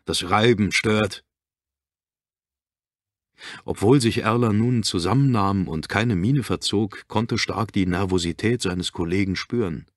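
Male narrator for suspense audiobook, deep register, literary reading, dry booth sound. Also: moderate pace, few pauses, no echo. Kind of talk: scripted narration of a suspense thriller. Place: dry studio.

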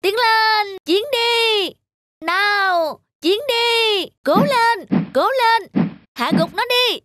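A woman playing an excitable small boy in a cartoon, shouty and urging. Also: very high voice, very slow, no room sound, studio quality, heavily acted. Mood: excited, urging. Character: excitable small boy cheering on fighting crickets. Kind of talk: cartoon dubbing. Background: music.

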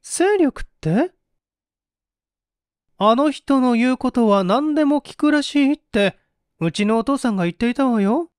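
A narrator acting out a young village girl in an audiobook tale, gossipy and coy. The voice is lifted high and lilting, with feminine, old-fashioned turns of speech. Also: darkish tone, clean tone, no room sound, studio quality.

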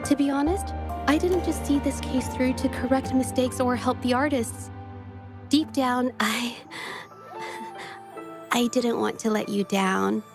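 An actress voicing a gentle, earnest young woman painter in a video game, speaking softly and sincerely. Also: sits high, measured pace, long pauses, over music. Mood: earnest, tender. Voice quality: soft. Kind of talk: video game story dialogue.

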